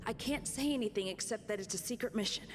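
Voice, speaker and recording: A woman's voice: medium pitch, fast talker, lightly acted. Guarded young woman agent in echoing hall, acted. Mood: guarded, apologetic. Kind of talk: scripted video game voice acting. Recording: distant reverberant game audio with faint noise.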